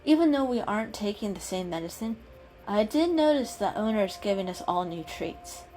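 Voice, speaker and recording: A woman's voice: medium pitch, expressive, brisk, warm tone, soft background music, lightly acted, rough recording. A woman voicing a caring, know-it-all female cat in a storybook, explaining patiently and kindly.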